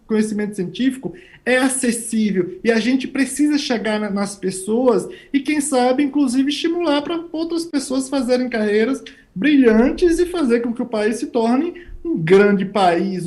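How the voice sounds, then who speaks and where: A man's voice: sits high, a little mellow. Guest scientist, male, 30s, Northeastern Brazilian accent, plain-spoken and emphatic. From Bahia backlands, Brazil. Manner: plain-spoken, emphatic, talks in short stacked phrases. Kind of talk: interview answers.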